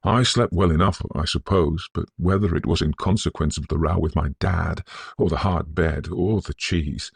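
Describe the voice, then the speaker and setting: A man voicing a hearty, impulsive young gentleman in a storybook, breezy and emphatic, with a husky edge. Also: sits low, moderate pace, no echo, exaggerated voice. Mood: amused, breezy.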